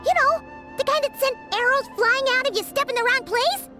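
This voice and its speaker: A woman voicing a tiny floating female companion who is excitable and chatty and speaks of herself in the third person, in a video game cutscene. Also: very high voice, a little fast. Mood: excited, chatty. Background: game background music.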